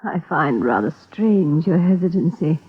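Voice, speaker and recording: An actress playing a bitter, ill wife who speaks coldly in a 1950s radio drama. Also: female voice, sits low, lively intonation, unhurried, husky, heavily acted. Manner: cold, bitter and clipped. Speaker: planter's sick wife. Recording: tinny old radio broadcast.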